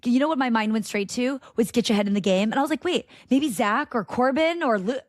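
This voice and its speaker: Bubbly high-voiced woman in her 30s. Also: quick pace, no room sound, clean studio sound. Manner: animated storytelling with frequent laughter and acted-out quotes. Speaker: guest. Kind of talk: casual interview chat.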